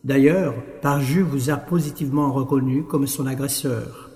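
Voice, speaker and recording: Pompous judge in a booming echoey hall, male actor. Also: steady tone, moderate pace, warm tone, faint music behind, lightly acted. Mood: stern. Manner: wordy, formal. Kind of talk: French audio drama acting. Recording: very echoey hall, long reverb.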